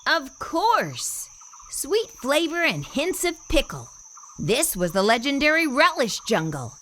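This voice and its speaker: A woman reading a children's picture book aloud as a storyteller, in an animated way with theatrical emphasis. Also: sits high, takes it slowly, slight background noise.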